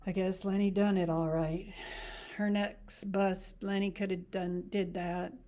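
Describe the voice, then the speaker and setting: A woman voicing a calm male ranch leader who speaks quietly and in a measured way, in a storybook reading. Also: medium pitch, lightly acted. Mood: grave.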